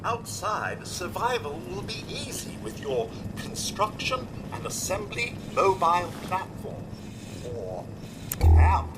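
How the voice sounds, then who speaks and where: Brief: upbeat male vault announcer, slow and sing-song. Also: high voice, huge pitch swings, exaggerated voice. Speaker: game announcer. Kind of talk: scripted game voice acting. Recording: game audio with loud background noise.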